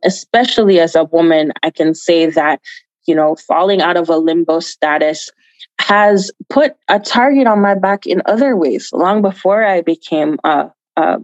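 A woman's voice: on the low side, a little mellow, no room sound, lo-fi sound. A woman from Jamaica giving earnest, emphatic answers as an activist guest in an interview, repeating phrases for stress.

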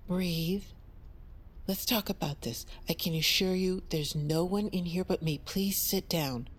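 Therapist: lower register, measured, soothing yet persistent, fiction podcast drama. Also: female voice, husky. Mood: calm, probing. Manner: measured, reassuring. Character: calm, reassuring woman therapist, patient but probing. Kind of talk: audio drama acting.

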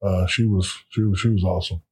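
A man with a very low, flat, husky voice speaks in a played-back interview clip. His talk is halting and confessional, stumbling over repeated words, and his tone stays dark and mellow. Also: poor audio. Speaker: podcast guest, former athlete.

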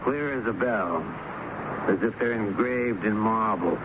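An actor playing a brisk, impatient police inspector in a 1970s radio drama, blunt, clipped and authoritative. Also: male voice, fairly low pitch, lightly acted. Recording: muffled, noisy old radio broadcast.

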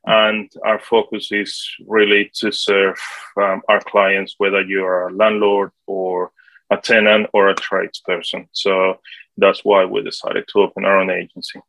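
Colombian-accented man in his forties, bright and dry. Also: low voice, completely flat delivery, vocal fry, dry recording, rough recording. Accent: Colombian Spanish. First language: Spanish. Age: 40s. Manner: halting, with many fillers. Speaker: guest, property manager. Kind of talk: casual interview answers.

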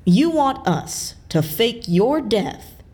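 Sardonic female sceptic, audio drama. Foul-mouthed, dismissive, measured pace. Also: on the high side, very animated, darkish tone. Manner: sweary, dismissive.

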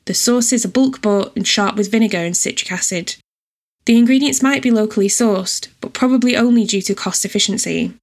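A woman reads a scripted personal essay in a measured, descriptive storytelling manner. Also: medium pitch. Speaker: writer and editor reading own essay.

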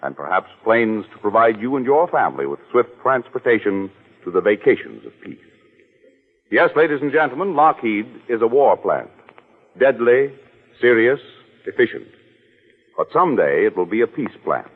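A man's voice: medium pitch, sing-song, normal speed, breathy edge. A man announcing in a formal, declamatory 1940s radio style, reading a scripted message. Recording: muffled, narrow-band 1940s radio recording.

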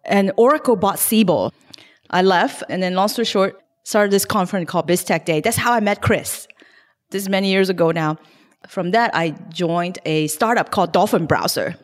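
Lady on a podcast, fairly low voice, brisk career story, echoey long-tail room. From Hong Kong. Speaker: guest investor. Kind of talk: casual podcast interview.

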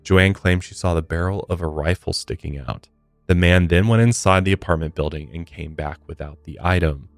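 A man with an American accent and a low voice hosts a true-crime podcast. He reads a prepared script and drops in blunt, conversational commentary. The sound is close and dry, with faint music underneath. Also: moderate pace, dry recording. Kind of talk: scripted true-crime narration with casual asides. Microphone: close studio podcast microphone.

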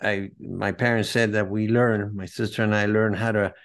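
A man, a podcast guest telling his life story in an earnest, halting way as he searches for words. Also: fairly low pitch, flat delivery. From Venezuela.

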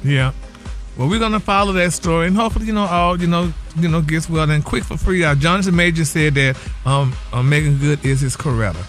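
A man's voice: on the high side, a little fast, a bit bright, soft background music. A man co-hosting a radio show with casual, sassy opinion talk, often throwing in "honey".

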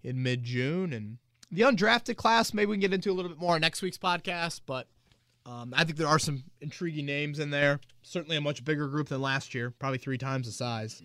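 Bright-voiced guy hosting a sports podcast, rambly and chatty, dry close mic. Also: a little high, brisk, dry recording. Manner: chatty, rambling with fillers and restarts. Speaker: podcast host and team reporter. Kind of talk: casual sports podcast chat.

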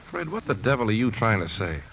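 A man's voice: lowish voice, sing-song, a little fast, a bit bright, slight background noise, poor audio. A man acting a troubled, loyal uncle in a 1950s radio drama, sometimes narrating. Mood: tense, impatient. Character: troubled, loyal uncle investigating his niece's death.

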